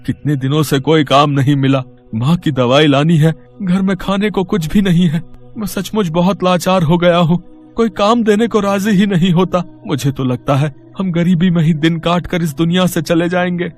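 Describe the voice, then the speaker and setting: A man's voice: on the high side, fairly even intonation, normal speed, faint music behind, close to an everyday voice. An actor playing a poor, helpless, despairing husband and father in a devotional story. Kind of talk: dramatic devotional story acting.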